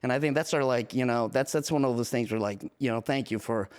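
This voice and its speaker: A man's voice: medium pitch, fast talker, darkish tone. A man born in Romania and raised in the United States, speaking as a podcast guest, casually telling personal stories and repeating phrases for emphasis. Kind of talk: casual testimony, personal storytelling.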